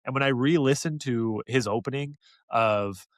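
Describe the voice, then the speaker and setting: A man's voice: mid-range voice, normal speed, dry recording, studio quality. A man co-hosting a podcast, giving opinions in an enthusiastic, casual review chat. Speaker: podcast co-host reviewing an audiobook.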